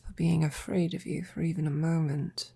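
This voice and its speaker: A woman playing a devoted, tenderly teasing royal knight in an intimate roleplay audio, with breathy, often whispered, courtly speech. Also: sits low, steady tone, mellow, heavily acted. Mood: teasing, affectionate. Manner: slow, tender, courtly phrasing.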